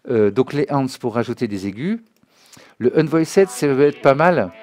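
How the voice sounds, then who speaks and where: A man giving an informal spoken tutorial as a music software trainer, explaining as he demonstrates and often checking that listeners follow. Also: medium pitch, animated, normal speed. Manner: conversational, checks understanding often with short tag questions. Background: music and synth demo sounds.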